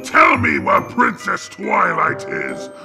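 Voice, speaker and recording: A woman's voice: medium pitch, wildly swooping pitch, takes it slowly, vocal fry, music in the background, voice pushed down, exaggerated voice. A woman voicing a menacing male cartoon villain with a threatening growl.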